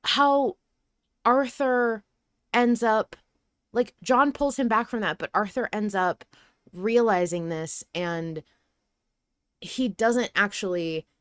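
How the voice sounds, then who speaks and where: A woman hosting a casual podcast discussion, talking in an animated way with emphatic repetition and self-interruption. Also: mid-range voice, slow, pauses often, clear, resonant tone, no room sound, studio quality. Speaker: podcast host.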